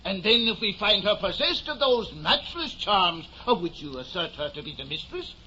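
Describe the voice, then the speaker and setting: Polite sceptical gent, florid, very sharp bright voice, muffled old radio recording. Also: male voice, sits high, big pitch swings, moderate pace, full character voice. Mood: courteous, sceptical. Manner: formal, courteous, florid. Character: smooth-talking, politely sceptical travelling gentleman. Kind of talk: 1950 old-time radio drama acting. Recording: dull, lightly noisy old radio recording.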